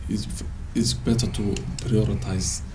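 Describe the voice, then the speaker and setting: A man from Somaliland with a Somali accent, a panel guest and interpreter speaking haltingly on a studio talk show. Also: lowish voice, little pitch movement, dark tone, recorded from a distance, slight background noise. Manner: halting, repeats words while searching. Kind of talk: panel discussion. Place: TV studio, indoors. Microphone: handheld mic.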